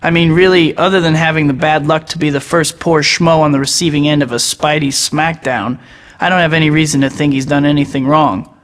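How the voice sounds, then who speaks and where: A man's voice: a little high, steady tone, fairly quick, in a large hall, close to an everyday voice. An actor playing a sarcastic young male superhero in a video game, full of teasing quips. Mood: wry narration. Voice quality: bright. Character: wisecracking young male superhero, cocky and joking. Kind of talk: quippy game voice clips.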